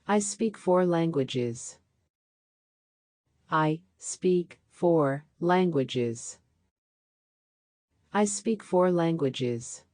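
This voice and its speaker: A woman reading practice sentences aloud for a language lesson, saying each one three times with a slowed repeat. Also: sits low, animated, a little mellow, no echo, clean studio sound. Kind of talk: slow scripted sentence drills.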